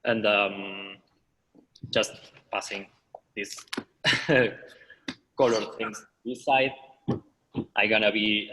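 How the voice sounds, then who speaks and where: A man with an Argentine accent, talking through an art demonstration in hesitant English that keeps stalling and restarting. His lowish voice has a bright, forceful edge. It rings with a long reverb from the room. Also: a little hiss or hum. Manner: halting non-native English, frequent fillers and restarts. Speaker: artist leading a workshop. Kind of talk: live online demonstration.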